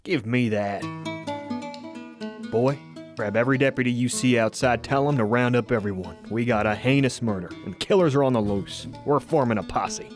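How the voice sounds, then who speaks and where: An actor playing an authoritative, folksy county sheriff in a radio drama. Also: male voice, medium pitch, normal speed, soft background music, lightly acted. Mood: urgent. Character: commanding county sheriff leading a posse in mining country.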